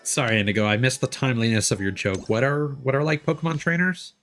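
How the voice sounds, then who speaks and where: A man's voice: medium pitch, fast talker, a bit bright. A man streaming a video game, chatting casually as he plays, with game music behind him. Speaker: livestreamer and VTuber. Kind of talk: casual gaming stream chat.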